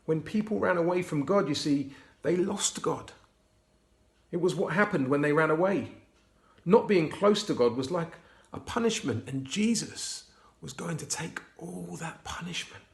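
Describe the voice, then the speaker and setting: A man reading a Bible storybook aloud to children, expressive and often hushed. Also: fairly high pitch, unhurried, vocal fry. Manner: hushed, expressive, dropping to whispers. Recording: roomy echo.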